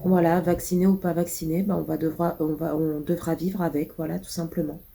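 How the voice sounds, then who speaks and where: Casting woman in her thirties, congested and coughing, low mellow voice, rambling vlog chat. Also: level tone, brisk, gravelly. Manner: rambling, many hesitations. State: congested, stuffy nose. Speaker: vlogger.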